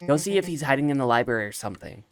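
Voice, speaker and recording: A man's voice: medium pitch, lively intonation, very fast, soft background music, natural voice. A man voicing a worried, blunt older sister in a storybook game, serious and demanding. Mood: irritated, worried.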